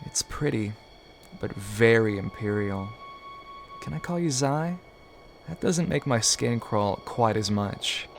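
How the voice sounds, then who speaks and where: A man's voice: fairly low pitch, slow, gravelly, slight background noise, full character voice. Man playing a brash, swooping young woman rebel. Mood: mocking, teasing. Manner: teasing, mocking, excitable. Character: brash, taunting young female rebel mech pilot. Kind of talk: audio drama acting.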